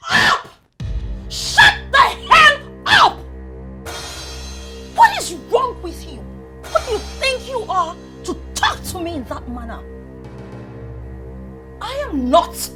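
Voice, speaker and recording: An actress playing a hot-tempered young royal daughter-in-law, emphatic and confrontational, in a film melodrama. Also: female voice, very high-pitched, wildly swooping pitch, unhurried, long pauses, over music, exaggerated voice. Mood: indignant. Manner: confrontational, emphatic, repeats herself.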